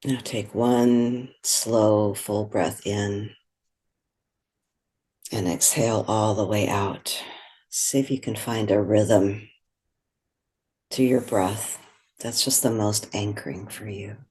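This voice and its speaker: A meditation guide speaking in a gentle, soothing, unhurried way, giving slow instructions with long pauses. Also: mid-range voice, fairly even intonation, husky.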